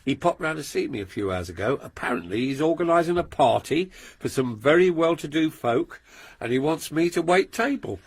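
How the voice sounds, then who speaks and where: An actor playing a gentle, good-natured husband who works as a servant, with a husky voice. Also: male voice, fairly high pitch, lively intonation, brisk, exaggerated voice. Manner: gentle, affectionate. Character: kindly, good-natured middle-aged male servant.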